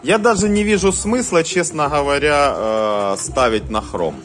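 A man giving casual hands-on commentary as a video host, talking haltingly in short muttered phrases. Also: fairly high pitch, fairly bright tone, faint music behind. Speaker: host of a cassette deck test vlog.

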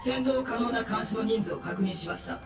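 A man's voice: sits high, moderate pace. An actor voicing a dutiful male guard captain who reports formally. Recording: echoey, distant game audio with music.

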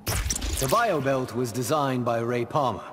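Very noisy game audio carries a male actor as a modest young scientist superhero of middling pitch. He speaks politely and precisely, earnest and eager to learn. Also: unhurried, natural voice. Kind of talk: video game pre-fight dialogue.

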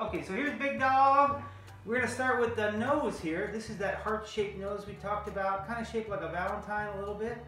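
A man's voice: sits high, pushed and bright. A middle-aged American man giving a casual, playful drawing tutorial for kids, chatting as he draws and stretching out some words. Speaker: children's drawing teacher. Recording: very echoey room, faint music.

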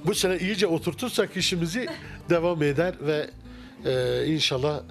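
A middle-aged man, a restaurant owner, answering interview questions in a relaxed, halting way with many fillers and restarts, inside a wooden-walled restaurant. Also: fairly high pitch, creaky, soft background music. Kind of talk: casual interview. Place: wood-paneled restaurant interior. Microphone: handheld mic held by interviewer.

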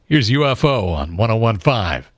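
A man's voice: lowish voice, big pitch swings, normal speed. A middle-aged American man doing upbeat, conversational classic rock DJ patter on a studio microphone. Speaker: radio DJ and show host. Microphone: close studio broadcast microphone.

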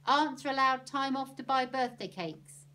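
A woman's voice: mid-range voice, very animated, measured pace, a bit bright, a little hiss or hum. A woman voicing a bossy, no-nonsense aunt with a firm, commanding manner. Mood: brisk. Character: bossy, no-nonsense aunt who lays down rules.

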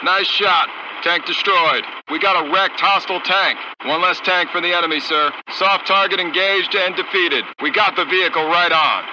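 An actor voicing a gung-ho American tank crew commander in a strategy game, terse and brisk, through a military radio filter. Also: male voice, high voice. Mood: confident, businesslike. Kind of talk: clipped military unit voice lines in a strategy game. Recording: dull radio sound with faint noise.

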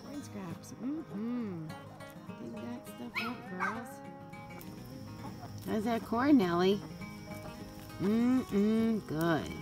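A woman's voice: on the high side, expressive, very slow, pauses often, over loud music. A middle-aged woman talking casually to her pets in short, coaxing phrases, recorded on a handheld phone. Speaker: backyard chicken keeper, vlogger. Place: mostly outdoors in a garden and around a coop.

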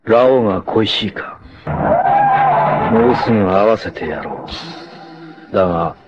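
A man playing a cocky, free-spirited, fearless martial-arts warrior in a dramatic 1980s anime, with theatrical, rough swagger. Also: lowish voice, lively intonation, unhurried, lo-fi sound, very noisy. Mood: solemn, resolved.

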